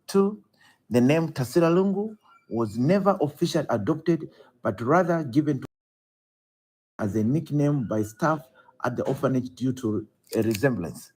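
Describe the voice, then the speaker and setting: A man's voice: medium pitch, lively intonation, moderate pace, darkish tone, husky, rough recording. A man with a Zambian accent reading out a formal statement as an official spokesperson.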